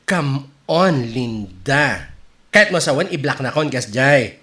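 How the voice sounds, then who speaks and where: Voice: mid-range voice, expressive, slow pace, fairly bright tone. A performer playing a gossipy, meddling friend in an Ilocano radio comedy drama, with an Ilocano accent, drawling and tossing in English interjections. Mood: nagging, warning.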